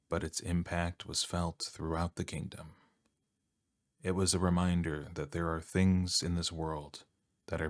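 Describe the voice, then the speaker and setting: A soft-spoken man narrating a bedtime story in a calm, soothing, measured ASMR style, close to the microphone. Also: low-pitched, no echo.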